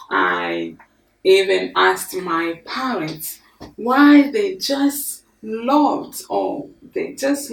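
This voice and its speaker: Very slow-speaking woman from Congo. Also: fairly high pitch, gravelly. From Congo DRC. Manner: slow, deliberate, expressive with hand gestures. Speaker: storyteller. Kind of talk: personal life story to camera. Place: studio before a green screen. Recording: echoey room, mic at a distance.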